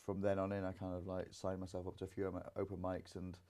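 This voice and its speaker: Young comedian guest, deep and dark-toned, chatty and filler-heavy. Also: male voice, completely flat delivery, quick pace. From Grimsby, England. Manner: rambling, many fillers. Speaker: comedian and singer. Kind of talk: casual interview chat.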